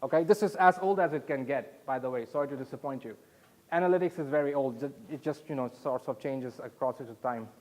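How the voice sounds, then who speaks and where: A man from Saudi Arabia presenting at a conference, explaining in a halting way and putting questions to his audience. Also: mid-range voice, darkish tone, rough recording. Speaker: conference speaker.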